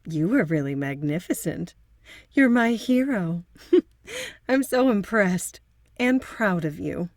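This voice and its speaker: A woman's voice: mid-range voice, big pitch swings, slow pace, long pauses, dry recording, clean studio sound, heavily acted. A woman playing an earnest, affectionate psychotherapist in audio drama dialogue.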